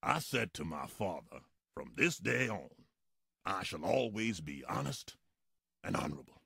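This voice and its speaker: An actor playing a proud, dignified old former policeman in a radio docudrama, gravelly and emphatic. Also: low-pitched, big pitch swings, unhurried, sharp tone, no room sound, studio quality, heavily acted. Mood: solemn resolve.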